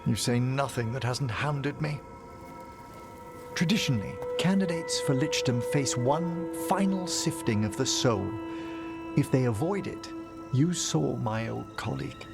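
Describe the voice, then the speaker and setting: Scholarly necromancer, gentle, formal, mid-pitched, measured phrasing, fantasy game dialogue, prominent music behind. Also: male voice, animated, gravelly. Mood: anxious, wistful. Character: scholarly, courtly older male necromancer mage, wistful and gentle.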